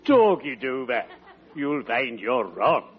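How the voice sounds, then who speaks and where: An actor playing a courtly, friendly undertaker in 1940s radio comedy, solemn and pompously formal with a macabre wit. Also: male voice, medium pitch, sing-song, slow, breathy edge, muffled recording, heavily acted. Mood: courtly advising. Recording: noisy old radio recording.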